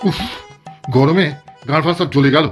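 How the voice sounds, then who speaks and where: A man's voice: a little high, steady tone, a little fast, soft background music, lightly acted. A man voicing a grumpy, crude middle-aged village husband in a cartoon comedy, who swears and complains constantly. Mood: cranky.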